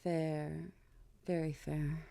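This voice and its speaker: Woman playing vampire queen, regal, low and soft-edged, hushed, teasing, measured, dry intimate sound, ASMR roleplay. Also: level tone, creaky, dry recording, full character voice. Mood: coy, teasing. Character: regal, teasing vampire queen, composed older-cousin type. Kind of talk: ASMR audio roleplay acting.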